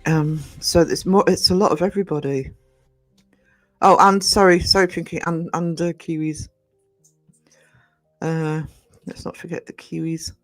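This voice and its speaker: A man, croaky from just waking up, rambling chattily to his live-stream viewers from home and greeting them by name. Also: on the high side, fairly even intonation, unhurried, pauses often, faint music behind. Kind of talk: casual live-stream chat. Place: indoors at home. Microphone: desk microphone.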